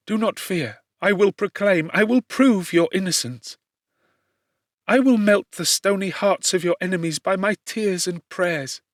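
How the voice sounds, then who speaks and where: Man doing a fervent young lady, high voice, emotional and imploring, classic audiobook drama, dry studio sound. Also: no room sound, full character voice. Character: tender, distressed young gentlewoman, loyal and fervent. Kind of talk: dramatic audiobook character reading.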